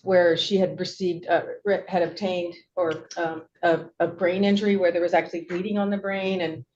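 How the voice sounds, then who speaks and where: A woman's voice: on the low side, flat delivery, moderate pace, a little mellow. A middle-aged woman giving courtroom testimony, hesitant and wordy, often correcting herself as she goes. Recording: dull, noisy courtroom audio.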